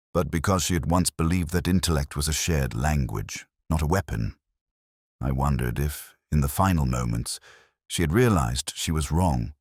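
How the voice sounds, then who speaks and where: An extremely deep-voiced, melancholy detective played by a man tells his own case as a literary audio mystery. He is solemn and reflective, setting out short measured phrases with a lively rise and fall. The sound is close and dry. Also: slightly rough, dry recording, full character voice. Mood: haunted, grave. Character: melancholy, brooding male English detective inspector narrating his case. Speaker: first-person narrator.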